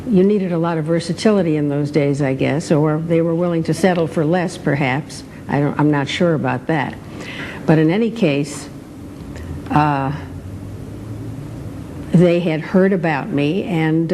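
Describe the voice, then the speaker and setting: An older American woman, a former radio actress, reminiscing in a measured, hesitant way. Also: low-pitched, a little mellow, slight background noise, low-quality recording. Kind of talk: reminiscing in an interview.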